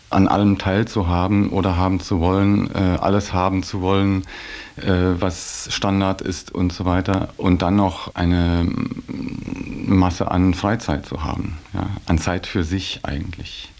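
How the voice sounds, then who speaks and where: A man's voice: low-pitched, a little mellow. A man talking reflectively and off the cuff in an interview, hesitant and groping for his words. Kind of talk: reflective unscripted talk.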